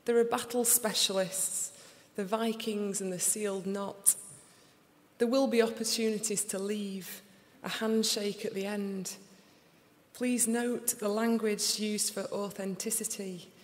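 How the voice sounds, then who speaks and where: Slow Sheffield woman reading poetry. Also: mid-range voice, fairly even intonation. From England. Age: young adult. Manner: slow, deliberate recital. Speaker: poet. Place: large concert hall. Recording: live reading in a reverberant hall.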